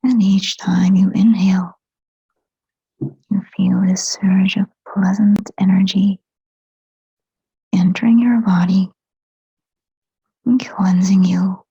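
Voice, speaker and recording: A woman leading a guided meditation in a soft, breathy whisper, speaking gently and soothingly in short phrases with long pauses between them. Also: medium pitch, fairly even intonation, no room sound. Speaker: hypnotherapist guiding a meditation. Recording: dull, muffled lo-fi sound.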